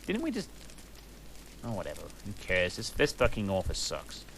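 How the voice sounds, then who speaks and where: A man's voice: fairly low pitch, wildly swooping pitch, normal speed, fairly bright tone, slight background noise. A man reading a fan story aloud in a mock-dramatic way, with sarcastic asides and typos read out literally.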